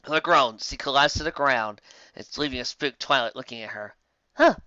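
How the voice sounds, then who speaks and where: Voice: medium pitch, brisk, bright, forceful tone, no echo, dull audio. A narrator reading fan fiction aloud in a dramatic, emotive way. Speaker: story narrator.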